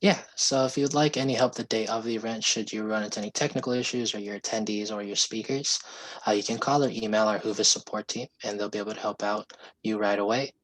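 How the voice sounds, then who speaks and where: A hoarse man explaining software pricing and support on a video call, polite and steady. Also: lowish voice, fast talker, low-quality recording. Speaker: sales representative giving a software demo. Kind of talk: video call sales pitch.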